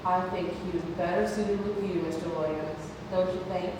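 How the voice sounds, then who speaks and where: A woman's voice: on the low side, fairly even intonation, normal speed, natural voice. Woman as a kindly nurse, very echoey hall. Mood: gentle, generous. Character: kindly, chatty, generous nurse. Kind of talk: amateur stage play table read. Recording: distant echoey hall, noisy.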